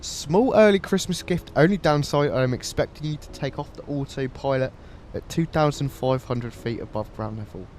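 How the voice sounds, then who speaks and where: A man's voice: mid-range voice, moderate pace, darkish tone, slight background noise. Man with a blocked-up nose. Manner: chatty, friendly, thanks viewers by name. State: stuffy nose from a cold. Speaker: flight simulator livestreamer. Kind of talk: casual livestream chat while flying.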